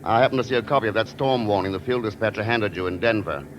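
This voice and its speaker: Actor as a cool low-voiced radio secret agent. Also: male voice, fast talker, noisy background, close to an everyday voice. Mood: cool, dry. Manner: dry, unruffled, laconic. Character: cool, wry, suave male secret agent. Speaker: lead actor in a radio spy drama. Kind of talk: 1940s-50s American radio acting. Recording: dull vintage radio recording.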